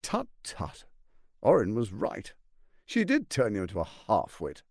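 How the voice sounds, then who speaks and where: An actor playing a scheming, menacing fantasy tyrant in a video game, silky, grandiose and condescending, speaking with theatrical deliberation. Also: male voice, fairly low pitch, huge pitch swings, a little slow, vocal fry, no room sound, clean studio sound, exaggerated voice. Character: scheming, smooth, menacing male tyrant lord and political mastermind.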